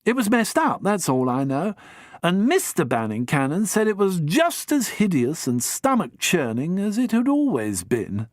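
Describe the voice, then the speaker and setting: A man's voice: high voice, huge pitch swings, normal speed, dry recording, pitched above the performer's own voice. A chirpy, eager young toff , as a male reader does him, gushing and easily flustered into stammers. The voice is raised and bouncy, with a close, dry sound. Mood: breezy. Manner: breezy, gushing, stammers when caught out. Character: jolly young upper-class man, eager and flustered.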